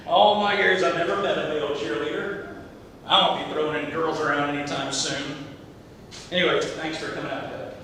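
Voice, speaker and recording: A man playing a brisk, mildly exasperated theater set builder in a stage comedy, with a curt manner. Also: steady tone, sharp tone. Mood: gruff, friendly. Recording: echoey theater, distant mic, rumbling noise.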